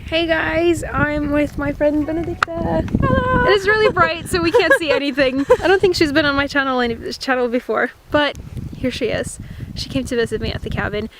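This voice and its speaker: Young woman, from Norway, excited and laughing, outdoors, wind in the mic, camera in hand. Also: high voice, a little fast. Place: outdoors in deep snow on a windy coast. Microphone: handheld camera.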